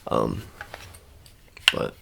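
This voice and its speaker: A man doing an ASMR roleplay, talking casually to the viewer in a halting, rambling, self-correcting way. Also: sharp tone, slight background noise. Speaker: ASMR creator.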